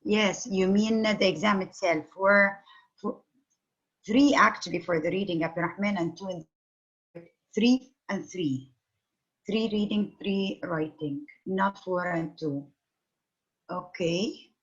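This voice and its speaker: A woman's voice: mid-range voice, slow pace, pauses often. A middle-aged woman teaching an online English class over a phone video call, patiently questioning her students. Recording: dull lo-fi call audio.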